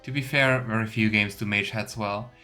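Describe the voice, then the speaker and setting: A man's voice: on the low side, fairly even intonation, moderate pace. A man giving casual let's play commentary, thinking aloud in loose fragments. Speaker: game streamer. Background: faint game music.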